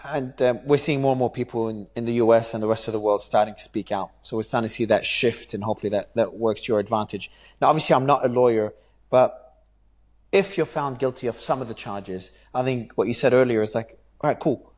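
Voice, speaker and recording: A man's voice: mid-range voice, a little fast, darkish tone. A man hosting an interview, asking questions in an earnest, searching way with hesitant restarts. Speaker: interview host.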